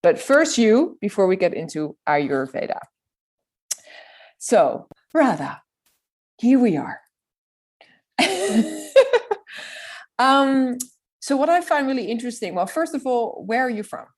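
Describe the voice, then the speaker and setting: A woman's voice: on the high side, sing-song, takes it slowly, pauses often. Enthusiastic woman hosting. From Netherlands. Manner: enthusiastic, chatty, many restarts. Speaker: podcast host. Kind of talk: casual interview.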